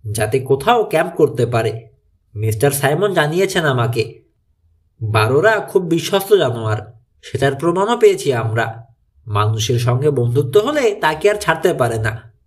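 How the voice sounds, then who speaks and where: A man's voice: a little high, very animated, moderate pace. A man voicing a clever, confident teenage boy detective in an audiobook, reasoning and explaining decisively.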